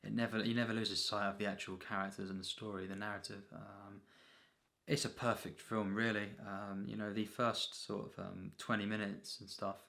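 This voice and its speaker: A man giving an unscripted, rambling film review as a reviewer, in a halting, repetitive way full of filler phrases. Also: on the low side, little pitch movement, vocal fry, bright, forceful tone.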